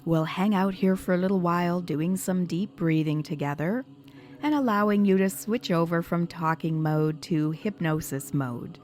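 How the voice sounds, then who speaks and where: A woman hypnotherapist leading a guided meditation in a soothing, measured, instructional manner. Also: fairly low pitch, darkish tone. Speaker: hypnotherapist guiding a session. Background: background music.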